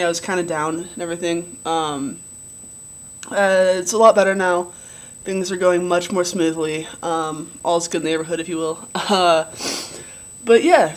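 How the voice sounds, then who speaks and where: A young man with a relatively low voice giving a casual video diary update, rambling with many ums and likes. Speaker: vlogger.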